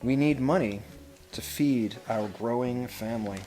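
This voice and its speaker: A man reads a picture book aloud as a school head would to children, with measured, expressive phrasing and pauses. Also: mid-range voice. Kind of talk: storybook read-aloud with brief asides.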